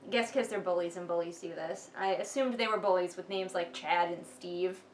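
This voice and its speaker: A woman's voice: fairly low pitch, a little fast, echoey room, slight background noise. A woman giving casual, mocking commentary as she reads along with a book, sarcastic and exasperated. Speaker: host of a book read-along.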